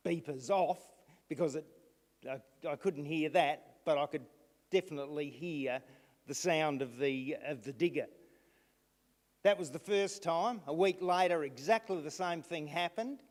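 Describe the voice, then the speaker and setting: A man addressing a council meeting, recounting events haltingly with restarts. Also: fairly high pitch. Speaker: resident speaking at council meeting. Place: council chamber. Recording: long reverb in a hall.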